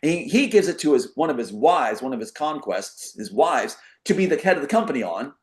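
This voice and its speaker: A man's voice: fairly high pitch, very animated, a little fast. A man speaking as a talk show guest in a casual, rambling monologue, emphatic and given to acting out quotes as he explains his theories.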